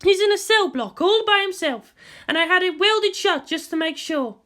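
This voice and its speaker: A woman's voice: very high-pitched, lively intonation, moderate pace, fairly bright tone, pitched above the performer's own voice. A woman voicing an exasperated but protective military guardian, emphatic and wearily authoritative, in a story reading. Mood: irritated, firm.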